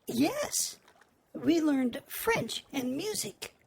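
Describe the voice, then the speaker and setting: A man doing a high-voiced curious young girl. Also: big pitch swings, drawn-out words, gravelly, slight background noise, exaggerated voice. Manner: earnest and questioning.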